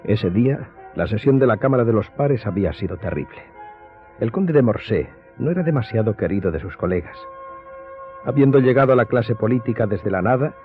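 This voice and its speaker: A man giving scripted dramatic narration as the narrator of a radio drama. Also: mid-range voice. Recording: thin phone-like sound, music behind.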